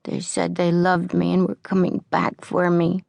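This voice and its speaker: A woman's voice: on the low side, completely flat delivery, measured pace, vocal fry, a little mellow, dry recording. A woman voicing a tough but caring young orphan girl who leads the others, plain-spoken and slangy, in an audiobook. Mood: wistful, hurt.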